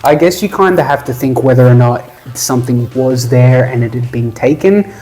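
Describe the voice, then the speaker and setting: A laid-back man hosting a podcast, talking at an ordinary middle pitch. He tells history in an offhand way and cracks jokes as he goes, with easy fillers and quick laughs. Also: mellow. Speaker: podcast co-host. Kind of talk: casual conversational storytelling.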